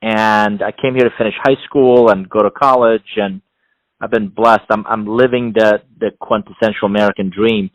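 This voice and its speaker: A middle-aged man from Iran, a non-native English speaker, giving measured, explanatory answers as an interview guest, often building his points in repeated phrases. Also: lowish voice, steady tone, dry recording, low-quality recording. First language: not English. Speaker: interview guest, executive coach and author.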